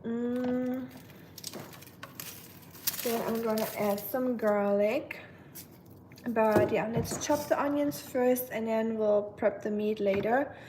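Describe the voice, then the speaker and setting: Brief: young German-accented woman, raised voice, slow easygoing delivery, sarcastic chat host over loud chopping. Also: on the high side, fairly even intonation. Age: young adult. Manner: chatty, dry sarcastic humor, answers viewer comments. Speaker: cooking streamer. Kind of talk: casual live-stream chat while cooking. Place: home kitchen. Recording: knife chopping noise behind.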